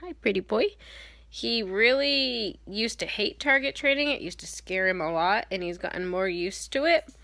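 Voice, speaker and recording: A woman's voice: medium pitch, lively intonation, normal speed, pushed and bright. A woman with a cold and a stuffed-up voice, casually explaining parrot training in a rambling way with many ums and self-corrections. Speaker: parrot owner giving a training demo. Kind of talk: casual how-to demonstration.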